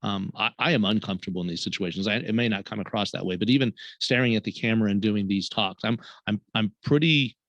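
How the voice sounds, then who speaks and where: A man co-hosting a webinar, talking haltingly and repeating words. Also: lowish voice, fairly bright tone, dry recording, lo-fi sound. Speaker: co-host. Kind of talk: casual webinar chat.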